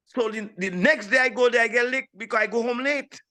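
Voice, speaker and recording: Man, sixties, St. Lucian accent, high sharp voice, animated interview guest, casual storytelling. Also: a little fast. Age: 60s. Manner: animated, repeats phrases for emphasis. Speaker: interview guest, steel pan player.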